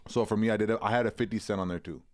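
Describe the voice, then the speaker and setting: A man's voice: lowish voice, fairly even intonation, very fast, darkish tone, dry recording, clean studio sound. A young man co-hosting a podcast in casual, profane banter, laid-back and often trailing off. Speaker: podcast co-host.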